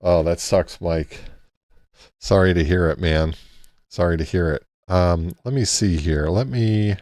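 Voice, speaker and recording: A man hosting a casual ham radio live stream, thinking aloud in a halting way with many restarts as he walks through a map on screen. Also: sits low, darkish tone, no echo, studio quality. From Texas.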